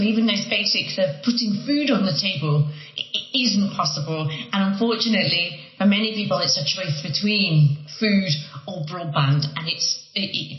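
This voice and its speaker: A woman's voice: mid-range voice, roomy sound, lo-fi sound. A woman academic giving an interview answer earnestly, with frequent restarts.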